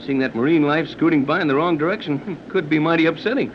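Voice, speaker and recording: An actor playing an affable, practical sea captain in a 1930s radio serial, folksy and easily amazed. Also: male voice, fairly high pitch, quick pace, natural voice. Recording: noisy, dull 1930s radio recording.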